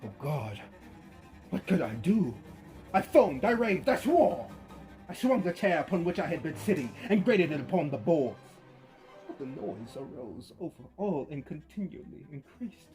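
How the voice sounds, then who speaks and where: A man giving a theatrical reading of a story, swinging from hushed to loud. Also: on the high side. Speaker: narrator. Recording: faint music behind.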